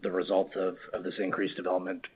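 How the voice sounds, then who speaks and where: Man, executive, earnings call remarks, halting, repeats himself, very deep flat husky voice, muffled audio. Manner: halting, repeats words, many "you know"s. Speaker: company executive.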